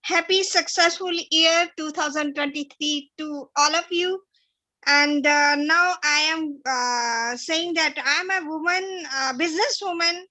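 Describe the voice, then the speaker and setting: Very high, bright, halting woman. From India. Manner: halting, searching for words. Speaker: brand ambassador.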